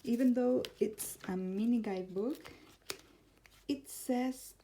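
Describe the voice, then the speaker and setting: Argentine woman tarot vlogger, slow unhurried delivery, soft mellow tone, faint hiss at home. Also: a little high. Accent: Argentine Spanish. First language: Spanish. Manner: chatty, laughs often, warm enthusiasm. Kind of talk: casual chat showing tarot decks. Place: home room, neighbor's car heard outside.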